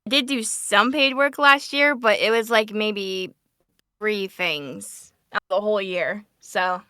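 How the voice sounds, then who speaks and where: A woman's voice: medium pitch, takes it slowly, pushed and bright, a little hiss or hum. A woman who works as a voice actor, talking informally and upbeat as she explains things on a panel. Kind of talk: casual panel Q&A chat.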